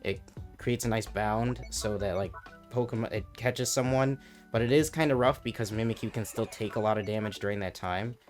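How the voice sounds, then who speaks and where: A man's voice: fairly low pitch, a little fast. A man hosting a gaming channel, talking loosely and casually about a game with a voice he says he is losing, filling his talk with restarts. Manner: relaxed, loose, lots of filler "like" and restarts. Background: background music.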